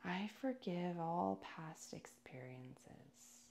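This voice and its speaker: A woman teaching yoga, leading a guided meditation in a calm, soothing, deliberate way with pauses between phrases. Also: lowish voice, creaky.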